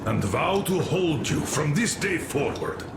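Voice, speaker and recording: An actor playing a stern, fatherly older colonel in a video game, speaking deliberately and formally with authority. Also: a little high, very animated, vocal fry, reverberant, very noisy, heavily acted. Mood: solemn. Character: stern older male colonel, commanding, fatherly train captain. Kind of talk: dramatic video game voice acting.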